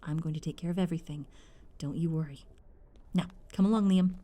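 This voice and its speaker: A woman playing a doting, bossy mother and excited grandmother-to-be in an ASMR audio drama, fussy and theatrical. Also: sits low, brisk, close to an everyday voice. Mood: brisk, bossy.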